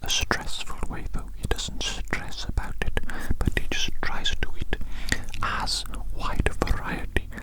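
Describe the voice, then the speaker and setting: Breathy close-up ASMR whisperer. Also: rough recording. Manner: musing, unhurried, repeats phrases. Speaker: ASMR video host. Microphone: very close microphone.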